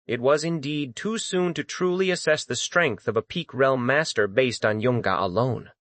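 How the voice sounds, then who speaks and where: A man's voice: mid-range voice, moderate pace, fairly bright tone, dry recording. A man reading dramatic scripted fantasy narration as an audiobook narrator.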